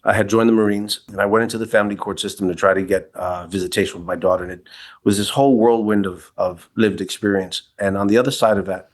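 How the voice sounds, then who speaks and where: A man's voice: on the low side, fairly even intonation, fast. A man in his forties from New York City, a podcast guest telling his personal story in a reflective, candid way with frequent restarts. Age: 40s. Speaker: podcast guest, kink educator. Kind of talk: casual interview, personal story.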